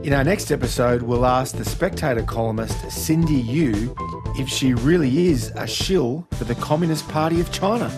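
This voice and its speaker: Male radio host, pointed questioner, steady mid-range voice, music playing underneath. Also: medium pitch, moderate pace. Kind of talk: radio interview and show wrap-up.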